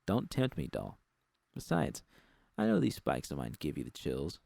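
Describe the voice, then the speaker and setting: A man's voice: rumbling low, huge pitch swings, normal speed, vocal fry, a little mellow, no room sound, pitched below the performer's own voice, exaggerated voice. A man voicing a smug, playful, taunting female gang bouncer in an audiobook story. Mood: teasing.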